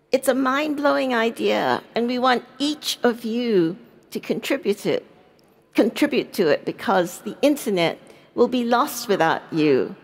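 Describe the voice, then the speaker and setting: Older woman rousing a conference crowd. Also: mid-range voice. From Singapore. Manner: earnest and rousing, rhetorical questions. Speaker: panelist. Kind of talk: conference panel talk. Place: large conference stage. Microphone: headset mic.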